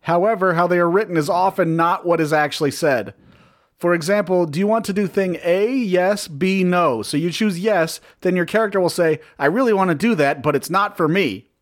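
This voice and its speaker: A man with a slightly high-set voice reads a review aloud on a casual podcast. He keeps a sardonic, deadpan tone, swears freely, and cuts in with his own asides. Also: moderate pace. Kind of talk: casual banter, reading aloud.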